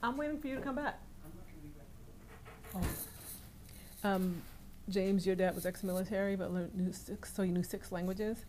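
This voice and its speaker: A woman's voice: medium pitch, moderate pace, long pauses, a little mellow, a little hiss or hum. A woman hosting a casual livestream from home, reading out viewer comments and chatting with her family, laughing often. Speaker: livestream host.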